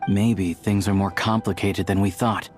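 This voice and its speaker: Composed investigator, lowish voice, calm, thoughtful, game acting, faint music behind. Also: male voice, a little slow. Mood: pensive. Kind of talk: anime-style video game cutscene acting.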